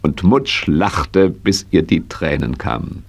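A man's voice: low-pitched, slow, creaky, slightly rough, exaggerated voice. A man playing the narrator of a children's audio play, reading a scripted story. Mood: narrating. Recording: noisy vintage audio play recording.

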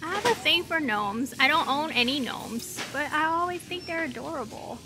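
A chatty woman from Hong Kong hosting a casual shopping vlog with an animated manner. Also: sits high, moderate pace, music in the background, poor audio. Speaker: vlog host. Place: large retail store. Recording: busy store background noise.

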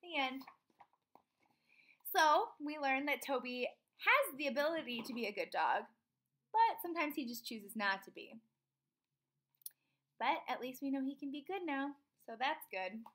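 A woman in her twenties reads a children's storybook aloud expressively, pausing for emphasis and chatting with young listeners. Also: high voice. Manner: animated, expressive, emphatic pauses on key words. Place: small room at home.

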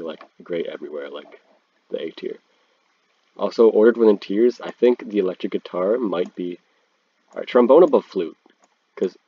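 Congested young guy, low husky voice. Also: warm tone, no room sound. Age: teens. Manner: laid-back, slangy, swears freely. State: congested from a cold. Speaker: video creator ranking instruments. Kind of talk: casual screen-recorded commentary. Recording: dull, lo-fi, dry sound.

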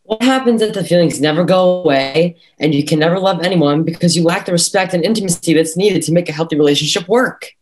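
A man's voice: fairly high pitch, fast talker, few pauses, a little hiss or hum, close to an everyday voice. A young man playing an awkward, insistent teenage schoolboy at a script read over a video call. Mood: troubled. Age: young adult. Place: room at home.

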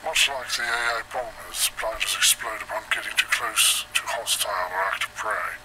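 An actor playing a grim scientist survivor, narrating research logs for a game in a hushed, breathy, measured and dramatic way. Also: male voice, lowish voice, background noise, lightly acted. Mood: grave, clinical. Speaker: narrator of in-game cassette recordings.

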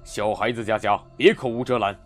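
A man's voice: lowish voice, fairly even intonation, a little fast, soft background music, natural voice. A man playing an older relative in an ancient-era audio drama, who chides the heir and recalls his childhood. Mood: reminiscing. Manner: chiding, reminiscing. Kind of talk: fan audio drama acting.

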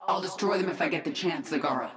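A woman's voice: sits low, fast talker, fairly bright tone. A woman playing a stern, commanding warrior queen of an alien swarm in a video game. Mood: urgent, determined. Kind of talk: video game dramatic voice acting. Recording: echoing voice under game music.

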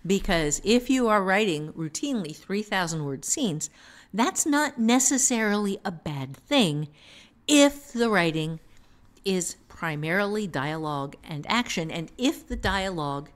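A woman giving unscripted teaching talk on a podcast, thinking aloud in a halting manner. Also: mid-range voice, expressive. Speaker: writing teacher, author.

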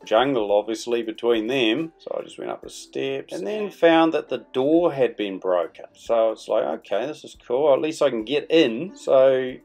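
A man's voice: mid-range voice, lively intonation, normal speed, vocal fry, few pauses, darkish tone. A middle-aged man narrating a vlog in a chatty, playful, colloquial way over music. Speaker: vlog host. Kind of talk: casual vlog storytelling voice-over. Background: background music.

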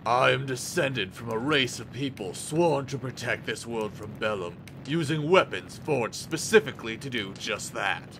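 A man voicing a grave, stoic blacksmith guardian in a cartoon parody, measured and formal. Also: lightly acted. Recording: noisy recording with low rumble.

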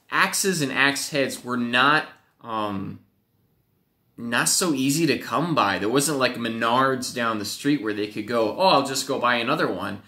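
A young man leads a children's Bible lesson from a small home office, his voice crisp and bright. He tells the story with animated energy and slips into playful acted-out voices. The webcam catches a roomy echo off the walls. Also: mid-range voice. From Wisconsin, USA. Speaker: youth pastor. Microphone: webcam at desk.

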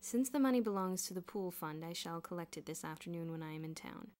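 A woman voicing a stern male headmaster in an audiobook story, formal, measured and drily sarcastic. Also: on the low side, lively intonation, a little mellow. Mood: resigned.

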